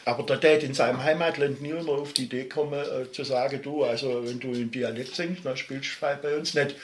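A man's voice: medium pitch, fairly even intonation, a little fast, roomy sound, slight background noise. A middle-aged man with a Swabian accent, talking passionately and at length as an interview guest. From Baden-Württemberg, Germany. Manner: passionate, emphatic, digressive. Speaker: musician guest. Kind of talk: interview talk.

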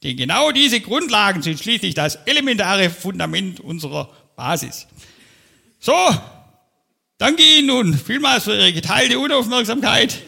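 A man's voice: high-pitched, big pitch swings, moderate pace, gravelly, pushed and bright, husky, exaggerated voice. A man doing a pompous windbag orator. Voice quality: pompous, convoluted, self-important. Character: pompous long-winded male speaker, self-important. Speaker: comedian on stage. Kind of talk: parody of a pompous official speech. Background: audience laughter.

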